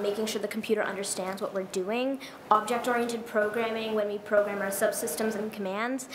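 A teenage girl, her team's software lead, presenting to a school board in an upbeat way, listing points with some fillers. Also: mid-range voice. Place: school library meeting room, indoors. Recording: echoey room with clear background noise.

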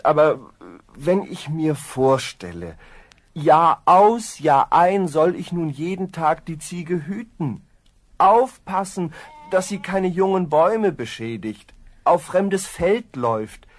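An actor playing a lazy, slow-witted young farm lad in a children's fairy-tale radio play, musing in sluggish, self-satisfied hums. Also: male voice, on the high side, animated, no echo. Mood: grumbling, musing.

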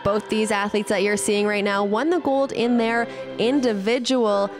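A woman giving scripted sports highlights commentary as a voice-over. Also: fairly even intonation, moderate pace, music in the background. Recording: clear ambient event noise behind voice.

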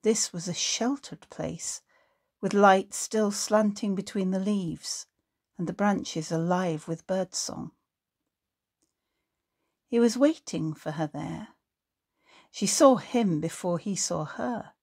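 A woman's voice: medium pitch, slow, pauses often, a little mellow. A woman reading literary prose aloud, as an author narrating an excerpt from a book.

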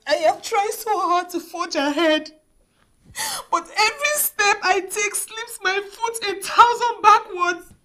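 A woman's voice: high voice, darkish tone, lightly acted, poor audio. An actress playing a weary, downtrodden, pleading single mother with a Nigerian accent in a film drama.

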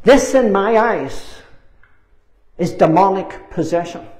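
An older man in his sixties preaches with fervour in a high-pitched voice. He drives points home with emphatic repetition and deliberate pauses. The room adds a clear echo around his words. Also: a little mellow. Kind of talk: fervent sermon. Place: small church meeting room, indoors. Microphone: lapel mic. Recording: roomy, echoing meeting room.

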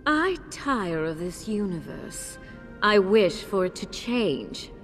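Game villainess: cold spirit of death, slow and solemn, sweeping intonation, cavernous echo. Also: female voice, medium pitch, sing-song. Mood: weary, commanding. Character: Death as a regal, cold, commanding mistress. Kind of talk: dramatic video game cutscene acting. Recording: long cavernous reverb, music behind.

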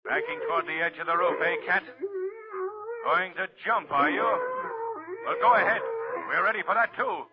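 An actor playing a commanding, taunting invisible crime fighter in a 1930s radio drama. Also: male voice, fairly high pitch, normal speed, long pauses, a loud crowd, close to an everyday voice. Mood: urgent commanding. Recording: muffled, scratchy 1930s radio recording.

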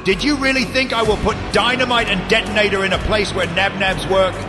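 A man's voice: sits high, steady tone, brisk, close to an everyday voice. A man voicing a protective, exasperated winged bird monster in a game. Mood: urgent scorn. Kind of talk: game character acting. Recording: very loud music and effects behind.

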